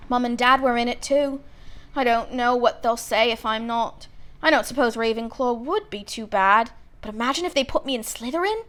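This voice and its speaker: A woman's voice: fairly high pitch, moderate pace, fairly bright tone, natural voice. Storybook schoolboy, performed by a woman: blunt, muttering, grumbling, gloomy, self-deprecating.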